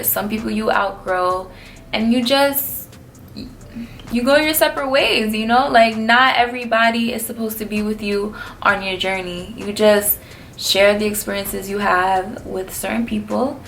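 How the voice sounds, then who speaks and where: A woman's voice: mid-range voice, fairly bright tone, a little hiss or hum. A twenty-one-year-old woman from New City, answering viewer questions in a blunt, slangy, laid-back vlog. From New York City. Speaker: vlogger. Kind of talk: casual Q&A vlog.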